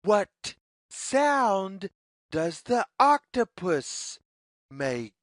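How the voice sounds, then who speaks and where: A woman reading a children's story aloud in a deliberate, singsong way, as if inviting young listeners to follow along. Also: mid-range voice, big pitch swings, dry recording, studio quality. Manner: deliberate, singsong, repetitive phrasing.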